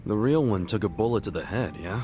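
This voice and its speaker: A man's voice: fairly low pitch, animated, fairly quick, dark tone, soft background music, natural voice. A man voicing a blunt, curious, easygoing friend in a video game cutscene, talking in a casual, slangy way. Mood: frank, casual.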